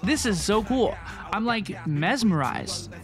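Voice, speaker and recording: A woman's voice: mid-range voice, animated, slow. A woman giving casual live reaction commentary, easily amazed and gushing as she hosts. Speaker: host of a reaction video. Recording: music behind the voice. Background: game trailer music and dialogue.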